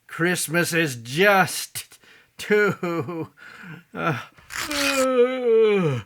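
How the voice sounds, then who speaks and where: A grouchy middle-aged executive, acted by a man for a stage drama, with a bright, forceful edge and very animated pitch. He grumbles and mutters indignantly at an unhurried pace, pompous and weary. The recording has faint noise. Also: heavily acted. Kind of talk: theatrical stage-play monologue and dialogue.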